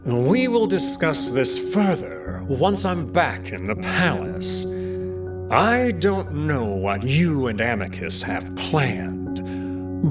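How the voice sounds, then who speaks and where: A man's voice: a little high, voice pushed up. A man voicing an angry, imperious male wolf usurper who gives menacing commands. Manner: commanding, grunting.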